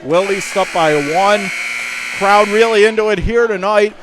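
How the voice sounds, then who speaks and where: A man doing live radio play-by-play of a high school basketball game from the gym, emphatically restating the score and clock. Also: a little slow, background noise. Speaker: play-by-play sports announcer.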